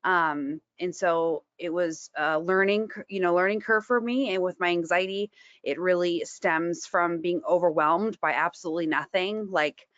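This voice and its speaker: A woman's voice: on the low side, normal speed, a bit bright, dry recording, dull audio. A woman in her thirties from Washington State, talking frankly on a panel and laughing easily between fillers. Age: 30s. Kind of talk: candid panel talk.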